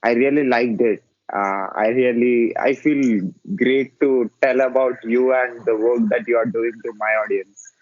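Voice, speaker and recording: A man's voice: mid-range voice, steady tone, measured pace. A man hosting a casual interview podcast, talking hesitantly with many filler sounds and restarts. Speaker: podcast host. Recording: dull dry lo-fi audio.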